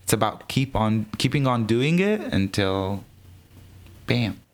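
Man, low voice. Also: slow pace. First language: Hebrew. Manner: animated, laughing, restarts sentences. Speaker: drag performer guest. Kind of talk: casual interview chat.

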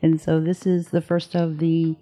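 A woman's voice: low-pitched, normal speed, soft background music. A woman co-hosting a casual podcast, teasing and laughing with her co-host. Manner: lively, teasing, laughs often.

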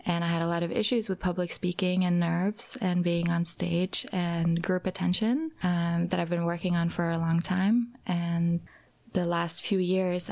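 A young woman from Norway, speaking softly and thoughtfully as a guest in a casual interview. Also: lowish voice. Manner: soft-spoken, thoughtful, with pauses and fillers. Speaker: guest performer.

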